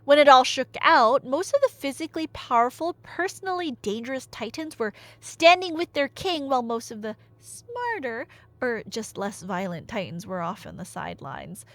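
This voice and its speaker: Girl doing a chatty nerdy storytime, kinda high voice, super up and down, wry little asides, close dry mic. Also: moderate pace, no echo. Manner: animated, playful, wry asides, slangy. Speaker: storyteller playing the listener's nerdy girlfriend. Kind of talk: casual rambling retelling of mythology, ASMR.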